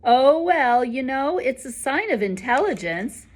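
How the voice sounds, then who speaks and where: A woman voicing a nerdy, clever, calmly confident schoolboy with a deliberate, pointed delivery in a storybook. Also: high voice, voice pushed up, exaggerated voice. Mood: mock-sympathetic.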